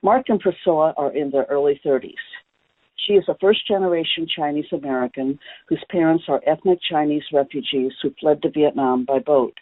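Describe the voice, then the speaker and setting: Male webinar presenter, higher-pitched, steady and explanatory, filler-heavy, dry phone-quality audio. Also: brisk, no room sound.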